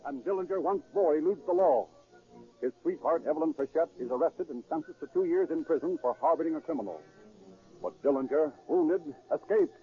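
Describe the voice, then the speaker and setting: A man's voice: a little high, lively intonation, fairly quick, soft background music. A man delivering dramatic scripted narration in the style of 1930s radio. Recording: tinny, phone-like 1930s radio recording.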